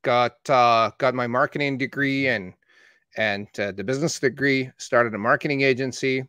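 A man's voice: medium pitch, normal speed, clean tone, no echo. Matter-of-fact man, dry close sound. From born in Iran, raised in Canada. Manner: steady, matter-of-fact storytelling. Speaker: marketing agency owner. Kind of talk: casual conversation.

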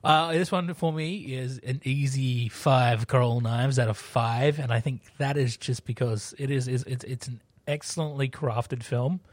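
A man's voice: mid-range voice, fairly even intonation, a little slow, hardly any pauses, dry recording. A man giving his opinions casually as a panelist on a film podcast. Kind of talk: casual chat.

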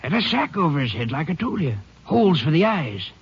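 A gravelly, stammering country night watchman in a vintage radio western. His voice is rough and breathy, with a fry under it, and he trips over his own words when flustered. The old broadcast sounds dull and lo-fi. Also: a little high, big pitch swings, a little fast, heavily acted. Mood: grumbling. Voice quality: gravelly, raspy drawl with ungrammatical country speech. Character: dazed older male night watchman, rustic and stammering. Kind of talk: 1950s radio drama acting.

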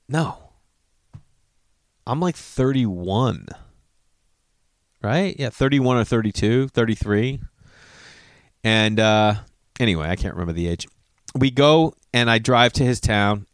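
A man's voice: fairly low pitch, clean tone, dry recording, clean studio sound. A man in his forties telling a rambling personal story, often losing his thread and correcting himself. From Massachusetts. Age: 40s. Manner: digressive, self-correcting, swears casually.

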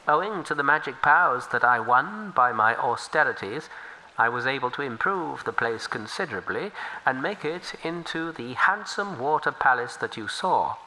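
A man's voice: on the high side, pitched above the performer's own voice, poor audio. A male storyteller voicing a huge, grateful old river turtle who speaks humbly and earnestly. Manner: humble, soft-spoken, earnest.

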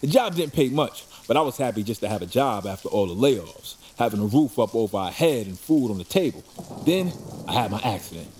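A man playing a scrappy, mouthy mugger and desperate addict in an audio drama, streetwise, slangy and excitable. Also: mid-range voice, fairly quick, natural voice. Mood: resigned. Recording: clear background noise, rain ambience.

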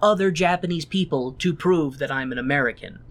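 A man co-hosting a casual discussion of a show, retelling scenes in a thoughtful, animated way. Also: a little high, brisk. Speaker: podcast co-host reviewing a show.

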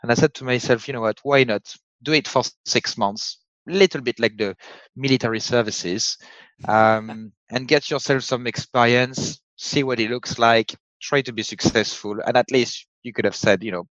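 A man's voice: mid-range voice, fairly bright tone, no room sound, lo-fi sound. A man from France with a French accent, telling his career story as a guest in a frank, chatty way over a video call. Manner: frank, chatty, slightly halting. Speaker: guest, company CEO. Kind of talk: casual interview storytelling.

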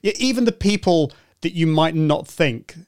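A man hosting a podcast interview, encouraging and a bit halting, often restarting his sentences. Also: a little high, lively intonation, darkish tone, no echo, clean studio sound. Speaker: podcast host. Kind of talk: conversational interview.

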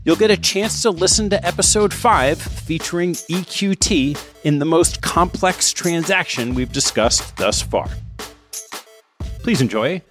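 Lively male podcast host. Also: fairly high pitch, measured pace, soft background music. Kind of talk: scripted podcast intro and interview.

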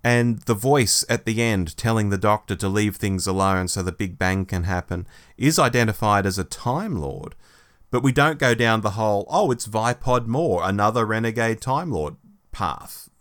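A man's voice: lowish voice, normal speed, a bit bright. A man co-hosting a podcast, talking casually as he compares and discusses stories. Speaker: podcast co-host. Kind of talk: casual book review discussion.

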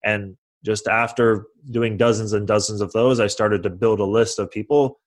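Young guy w a low-ish voice, podcast guest, confident, walks through his story. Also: steady tone, moderate pace. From California. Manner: confident, explanatory, acts out quoted dialogue. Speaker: guest real estate investor. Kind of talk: podcast interview answers.

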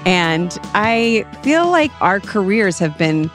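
A woman co-hosting a podcast in casual chat, gushing and giggly, with quick asides. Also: medium pitch, very animated, music in the background. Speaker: podcast co-host.

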